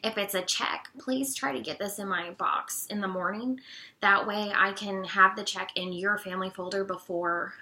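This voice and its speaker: Raspy-voiced woman, bright and chatty. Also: medium pitch, fairly even intonation, moderate pace, rough recording. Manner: friendly, chatty, many "um"s. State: raspy from allergies. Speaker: co-op treasurer. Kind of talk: casual informational video talk.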